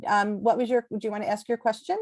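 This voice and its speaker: A woman's voice: medium pitch, fairly even intonation, quick pace, darkish tone. A woman moderating a virtual talk over a video call, informally handing the floor to attendees.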